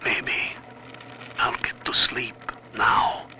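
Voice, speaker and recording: A man's voice: extremely slow, background noise. A man playing an exhausted, hopeless prisoner in a video game audio log. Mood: hopeless, weary. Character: despairing, exhausted imprisoned family man.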